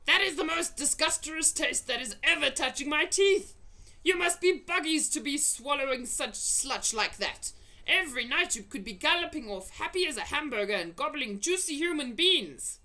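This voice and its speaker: A woman's voice: high-pitched, expressive, normal speed, pushed and bright, pitched above the performer's own voice, full character voice. A woman voicing a huge, vicious, greedy male giant from a storybook, roaring insults in broken, folksy grammar. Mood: furious. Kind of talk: storybook character voice.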